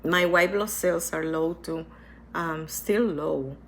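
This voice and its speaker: Slow, gravelly woman with a stuffy voice. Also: sits low, slight background noise. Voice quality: hesitant, with many restarts and repetitions. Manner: earnest, reflective, speaks slowly. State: stuffy from a cold. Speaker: vlogger sharing her cancer experience. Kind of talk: casual personal talk to camera.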